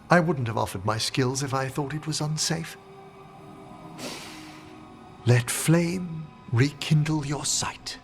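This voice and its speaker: A man playing a courteous, solemn gentleman necromancer in a video game, with formal, measured delivery. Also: a little high, soft background music.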